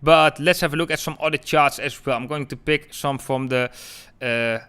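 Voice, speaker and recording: A man's voice: medium pitch, moderate pace, sharp tone, smooth. A man from the Netherlands with a Dutch accent, hosting a live crypto trading stream and answering viewers in a chatty way. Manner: chatty, keeps calling viewers "guys". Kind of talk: live-stream chart talk, answering chat.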